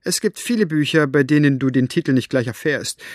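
A man voicing a gruff, sharp-tongued woman book collector who talks brusquely and mockingly. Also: a little high, a little fast, no echo, clean studio sound, close to an everyday voice.

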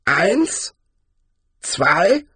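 A man voicing an elderly, spry barbarian hero in a storybook, slow and condescendingly kindly, with a creaky voice. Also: high voice, sing-song, sharp tone, dry recording, voice pushed up, heavily acted. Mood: calmly menacing. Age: older. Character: greise, spry old barbarian hero, calm and mockingly friendly.

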